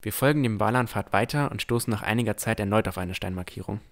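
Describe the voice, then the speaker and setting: Ein Mann spielt einen vorsichtigen jungen Entdecker, der sein Fantasy-Abenteuer bedacht und ernsthaft in der Ich-Form erzählt. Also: male voice, fairly low pitch, fast, natural voice. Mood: calm narrating. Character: cautious, thoughtful young male explorer narrating his adventure. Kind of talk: first-person scripted narration in fantasy audio drama.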